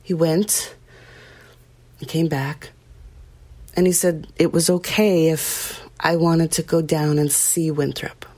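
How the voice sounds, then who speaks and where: A woman playing a haunted, compassionate small-town woman, telling her horror story in a somber, measured audio drama narration with hesitant pauses. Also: sits low, steady tone, warm tone. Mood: hushed, uneasy. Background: music under the voice.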